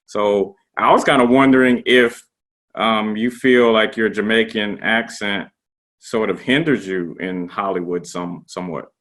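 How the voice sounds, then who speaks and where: A fairly low male voice from a host who grew up in the Gullah Geechee region. He asks questions in a relaxed way, with plenty of "um" and "like". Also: unhurried. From Gullah Geechee region, US Southeast. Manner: relaxed, uses fillers like "um" and "like". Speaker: interview host. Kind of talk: interview conversation.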